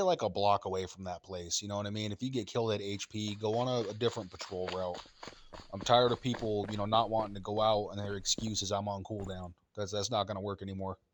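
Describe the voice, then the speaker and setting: A man's voice: fairly low pitch, no room sound. A man firmly laying down rules at a casual meeting, blunt and direct. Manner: blunt, firm.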